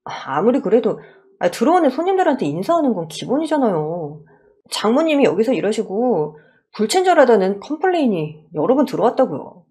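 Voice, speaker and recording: A meek young son-in-law in a radio story, voiced by a woman. He is earnest, courteous and a little hesitant, with a husky, expressive delivery. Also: a little high, fairly quick. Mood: pleading. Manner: polite, hesitant, pleading.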